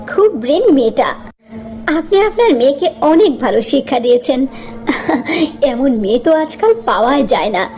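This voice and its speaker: A woman's voice: high voice, darkish tone. A woman playing a gracious, effusive wealthy mother in a cartoon story. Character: gracious wealthy mother seeking brides for her sons. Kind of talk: animated story voice acting.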